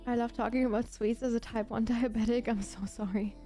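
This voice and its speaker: Woman, native German speaker, higher-pitched voice, soft mellow tone, casual livestream chat, gushing, emphatic, repetitive. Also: fairly even intonation, fairly quick, faint music behind. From Germany, grew up in Berlin. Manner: gushing, emphatic, repeats herself. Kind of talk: casual chat with live viewers.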